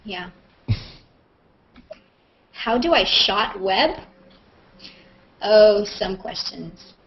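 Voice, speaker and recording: A woman's voice: mid-range voice, lively intonation, extremely slow. A woman chatting casually and playfully as she hosts a live question-and-answer video call. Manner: playful, joking, self-mocking. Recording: muffled, lo-fi internet video call.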